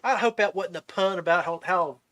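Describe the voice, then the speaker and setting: A man's voice: high voice, brisk, a bit bright. A man hosting a podcast in a casual chat, talking in a folksy, buddy-like way. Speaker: podcast host.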